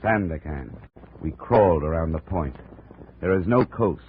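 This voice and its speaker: An actor playing a laconic, hard-boiled American investigator in a 1950s radio drama, clipped and dry. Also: male voice, sits low, lively intonation, a little slow. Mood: narrating, weary. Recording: muffled, noisy old 1950 radio recording.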